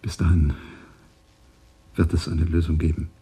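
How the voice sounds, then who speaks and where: An actor playing a tender, reassuring middle-aged spaceman in a science fiction radio drama, with a husky voice. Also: little pitch movement, measured pace, warm tone, slight background noise. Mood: hushed, reassuring. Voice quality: husky, low. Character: tender, reassuring older spaceman, pleading with his lover.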